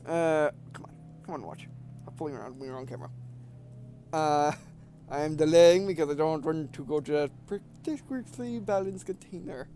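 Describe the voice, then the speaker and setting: A man in his twenties giving casual, halting let's play commentary, often repeating phrases as he goes. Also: on the high side, warm tone, faint music behind. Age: 20s. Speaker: gaming video host. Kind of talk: casual let's play commentary, reading game text aloud.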